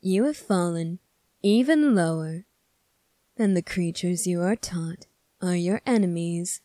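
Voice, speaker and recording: A woman playing a smug, scornful male demon prince in an ASMR roleplay, speaking in a condescending, deliberate way. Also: fairly low pitch, mellow, dry recording, studio quality, lightly acted. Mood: smug, taunting.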